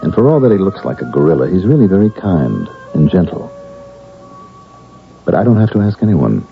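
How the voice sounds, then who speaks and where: Deep, gravelly, troubled professor. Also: male voice, big pitch swings, soft background music, heavily acted. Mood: resigned musing. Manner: deliberate, pedantic, musing. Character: confined professor, reflective, precise, troubled. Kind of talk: 1970s radio drama acting. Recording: tinny vintage radio broadcast.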